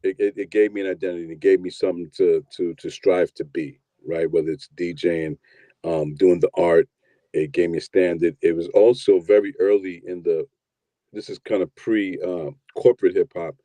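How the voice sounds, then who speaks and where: A man in his fifties from New York telling his story in a relaxed, rambling interview, often repeating words as he starts. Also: sits low, normal speed, dry recording, muffled recording. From New York, USA. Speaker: guest, producer and DJ.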